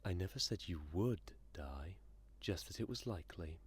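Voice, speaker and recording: A man playing a menacing, condescending inhuman entity in an audio drama, speaking in a sardonic, theatrical and deliberate manner. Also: sits low, expressive, husky, exaggerated voice. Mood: detached, matter-of-fact.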